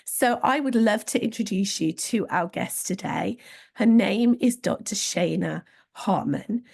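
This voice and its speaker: A woman hosts an interview podcast, her voice a little squeaky today. There is a strong gravelly fry running through her friendly, measured speech. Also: darkish tone. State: slightly squeaky voice. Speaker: podcast host. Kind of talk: podcast hosting.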